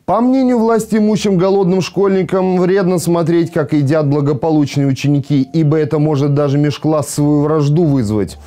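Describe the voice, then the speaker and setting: Mellow, higher-pitched male news presenter. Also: fairly even intonation, normal speed, few pauses. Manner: ironic. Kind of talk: scripted news narration with sarcastic commentary. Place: TV studio.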